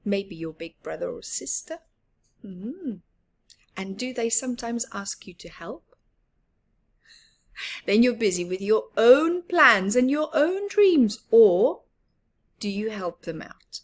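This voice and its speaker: A woman's voice: medium pitch, sing-song, slow, long pauses. A woman reading a picture book aloud expressively for young children, putting questions to them and often dropping toward a whisper. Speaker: children's storyteller.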